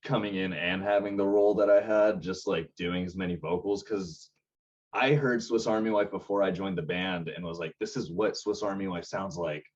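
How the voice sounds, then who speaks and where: A man's voice: sits low, moderate pace, highs cut off. A young man and band vocalist chatting casually on a podcast, rambling and laughing easily. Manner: relaxed, rambling, laughs often.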